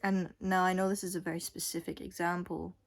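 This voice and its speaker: A woman's voice: on the low side, flat delivery, brisk. A young adult woman vlogger giving a casual, unscripted monologue in a rambling, blunt way with casual swearing. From Scotland and Thailand.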